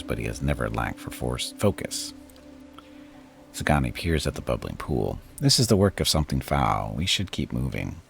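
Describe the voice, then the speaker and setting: A man streaming a game and reading its text aloud, his voice very deep and gravelly with a lively, wandering pitch. He drops relaxed, wry comments between passages while faint game music plays.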